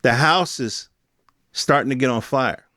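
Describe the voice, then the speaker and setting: A man's voice: medium pitch, measured pace, bright, forceful tone, no room sound, clean studio sound. An American man in his fifties giving a casual, opinionated solo monologue in emphatic, slangy, conversational speech. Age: 50s. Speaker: podcast host.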